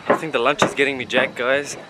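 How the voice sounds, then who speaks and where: A man's voice: medium pitch, little pitch movement, fast talker. A young man talks playfully to his viewers while holding the camera himself. He is outdoors on a lakeside raft house, and heavy background noise runs under his chat. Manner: chatty, playful, greets viewers directly. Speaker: travel vlogger. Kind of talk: casual travel vlog. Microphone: handheld camera at arm's length.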